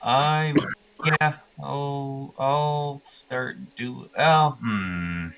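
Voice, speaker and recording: A man's voice: mid-range voice, very slow, phone-quality sound, a little hiss or hum. A man playing a tabletop game, chatting casually and thinking aloud in a hesitant way. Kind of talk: casual chat.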